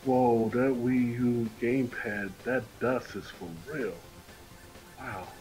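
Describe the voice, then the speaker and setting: A man's voice: mid-range voice, slow, a little mellow, soft background music, poor audio. A man co-commentating on a game stream in casual, slightly hesitant, rambling chat.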